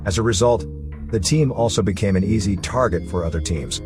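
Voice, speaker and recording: A man's voice: lowish voice, a little fast, over music. A man reading scripted narration for a story recap video, as its narrator.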